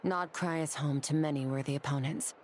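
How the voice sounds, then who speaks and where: A woman voicing a stoic, aloof female warrior in an anime-style video game, measured, formal and solemn. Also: deep voice, fairly even intonation, a little hiss or hum, natural voice. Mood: solemn, calm. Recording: faint game music behind.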